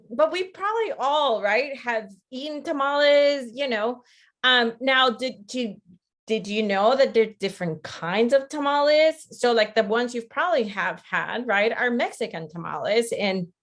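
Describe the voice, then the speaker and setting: A woman presents an interactive online lecture in a conversational way, often checking in with her listeners. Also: on the high side. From Costa Rica. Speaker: webinar presenter, teacher educator.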